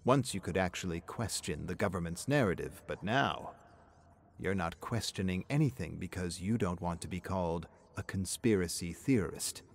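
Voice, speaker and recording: Halting, wistful middle-aged wife, voiced by a man. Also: low-pitched, animated, slight background noise. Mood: bitter, wry. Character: plump middle-aged woman, anxious, grieving, bitter wife.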